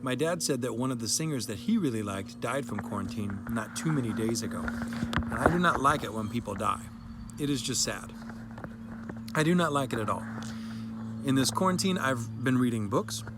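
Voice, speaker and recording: A man's voice: medium pitch, animated, moderate pace, lightly acted. A man voicing a sad, earnest young girl fed up with quarantine, reading her story dramatically in an emphatic, childlike way. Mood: sad, worried. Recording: clearly noisy background.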